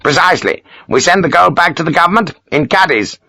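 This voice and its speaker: A man voicing a gruff, pious older army major who talks in curt, self-important bursts. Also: fairly high pitch, animated, brisk, bright, forceful tone, no echo, clean studio sound, voice pushed up, exaggerated voice. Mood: lecturing. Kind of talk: audiobook character voice.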